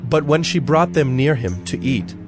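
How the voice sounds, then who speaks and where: A man's voice: a little high, expressive, normal speed. A man giving a dramatic scripted narration of scripture as the narrator. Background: music.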